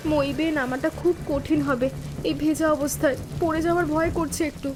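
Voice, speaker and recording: A woman playing a timid, grateful younger sister-in-law in an animated family drama, speaking in an earnest, emotional, deferential way. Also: sits high, brisk, background noise, lightly acted. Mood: anxious admiring. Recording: music bed with rain sounds.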